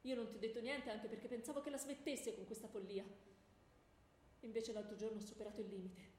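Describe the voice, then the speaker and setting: A woman's voice: fairly high pitch, fairly even intonation, fast talker, darkish tone, lightly acted. A woman playing an indignant, jealous goddess wife in comic radio theatre, melodramatic and scandalized. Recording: echoey hall with faint background noise.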